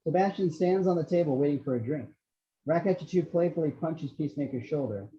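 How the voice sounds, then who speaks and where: A man's voice: on the high side, a little fast. A man reading out screenplay action descriptions as the narrator of a table read. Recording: slightly dull lo-fi microphone.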